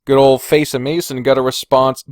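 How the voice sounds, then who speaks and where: A man's voice: medium pitch, flat delivery, normal speed, no room sound, clean studio sound. A man hosting a podcast, chatting informally and swearing freely as he reads and reacts to comments. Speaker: podcast host. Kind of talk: casual chat, reading and reacting to viewer comments.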